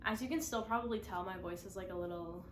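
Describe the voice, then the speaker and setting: A woman's voice: mid-range voice, fast, slight background noise. A woman in her twenties, congested from the flu, chatting casually in a rambling vlog style full of "like"s, in her apartment. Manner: rambling, many "like"s and restarts. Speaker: vlogger. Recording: echoey room with faint ambience.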